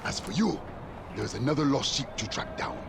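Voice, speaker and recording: An actor playing a fervent male ex-warlord turned preacher, booming and scripture-quoting, in a video game. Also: lively intonation, a little fast, gravelly, noisy background. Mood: solemn.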